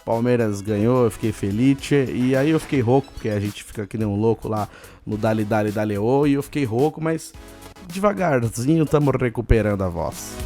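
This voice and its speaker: A man with a Brazilian accent hosting a casual solo podcast, hoarse and chatty, giving listeners shout-outs. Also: mid-range voice, normal speed, soft background music. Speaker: podcast host.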